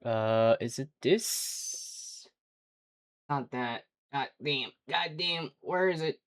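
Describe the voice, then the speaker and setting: Slow-talking streamer, native French speaker. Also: lively intonation, clear, resonant tone, dry recording, clean studio sound. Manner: rambling, swearing, jokey, prone to sudden pitch jumps. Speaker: livestreamer. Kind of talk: casual livestream chat.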